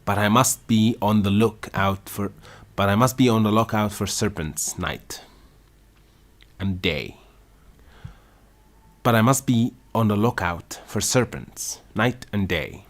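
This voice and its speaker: A man's voice: lowish voice, takes it slowly, pitched above the performer's own voice. A man voicing a fretful, shrieking female pigeon who guards her eggs, agitated and stumbling over repeated lines in an amateur storybook reading. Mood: shrill.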